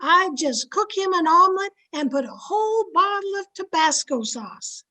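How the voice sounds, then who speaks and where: A woman's voice: very high-pitched, lively intonation, moderate pace, sharp tone, exaggerated voice. A woman playing a frank, loyal, chatty housemaid in an old-time radio sitcom style. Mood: chatty, confiding.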